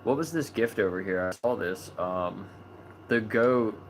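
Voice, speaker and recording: A man with a fairly low voice hosts a live online chat. He talks in a loose, relaxed way, full of ums and small restarts. A steady noise sits under the recording. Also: a little slow, rough recording. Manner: relaxed, chatty, many fillers. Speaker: host of a live video chat about animal rights. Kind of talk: casual livestream chat.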